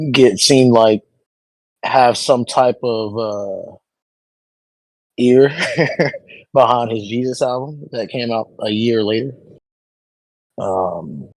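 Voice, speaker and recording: Male podcast host, low-ish voice, hesitant and rambling, dry close recording. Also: a little mellow, no room sound, rough recording. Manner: frequent ums, hesitant and rambling. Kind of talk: casual album review chat.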